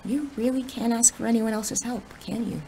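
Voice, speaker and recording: A woman's voice: a little high, moderate pace, mellow, slight background noise, lightly acted. A woman acting in an audio drama as a skeptical, sarcastic teenage girl, a reluctant and exasperated superhero sidekick. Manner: exasperated, questioning, dry.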